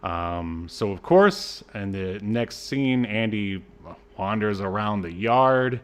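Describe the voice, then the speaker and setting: A man co-hosting a podcast, casually reviewing a film in a halting, wryly exasperated way. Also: lowish voice, animated, clean tone. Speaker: podcast co-host.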